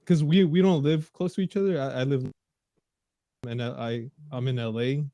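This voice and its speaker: A man's voice: mid-range voice, fairly even intonation, moderate pace, dark tone, no room sound. A man from California's Central Valley co-hosting a casual podcast, laid-back and rambling, with lots of "like" and restarts. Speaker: podcast co-host. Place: home, LA area.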